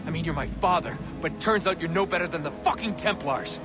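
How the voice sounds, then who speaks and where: A man plays a young American son in a video game drama, resentful and sarcastic, pushing back with open frustration. Loud music plays behind him. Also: on the high side, fairly quick, close to an everyday voice. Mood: bitter, sarcastic. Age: young adult.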